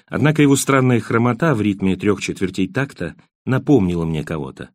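Low-voiced man narrating a novel, expressive pitch. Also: a little fast, no room sound. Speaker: audiobook narrator. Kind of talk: scripted literary narration with acted dialogue.

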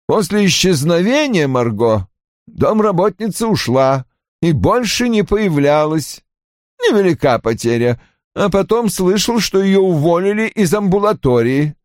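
A man's voice: a little high, a little mellow, no echo, clean studio sound, voice pushed up. A man voicing a bitter, brusque older father in an audiobook, irritable and defensive, with cold, emphatic delivery. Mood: cold, dismissive. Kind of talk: audiobook character acting.

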